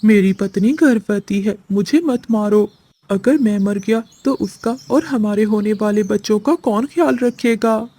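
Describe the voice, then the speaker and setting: A woman voicing a caring, gentle young husband sparrow in a children's cartoon. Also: mid-range voice, measured pace, lightly acted. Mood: begging.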